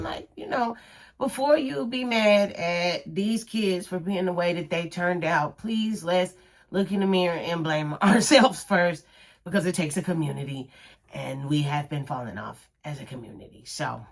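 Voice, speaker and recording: A woman in her thirties talks straight to her audience in a casual monologue. Her voice sits low, and she leans hard on her points, sometimes sounding preachy. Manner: animated and emphatic, preachy. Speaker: commentary vlogger.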